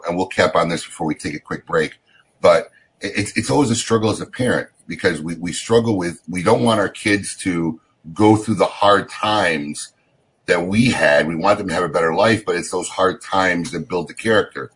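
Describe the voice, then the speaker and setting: A man's voice: sits low, normal speed, fairly bright tone, breathy edge, low-quality recording. A man hosting a talk radio show, chatting casually and hyping the next segment. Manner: upbeat, promo-style sign-offs.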